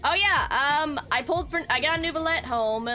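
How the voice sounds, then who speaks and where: Bright, high-voiced young woman streamer. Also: normal speed. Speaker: game streamer. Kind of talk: casual livestream chat. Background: game music.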